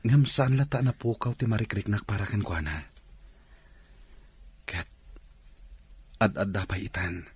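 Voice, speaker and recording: Young suitor in radio drama, husky lowish voice, earnest and polite. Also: male voice, animated, a little slow, long pauses, exaggerated voice. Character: earnest, respectful young man, a devoted suitor.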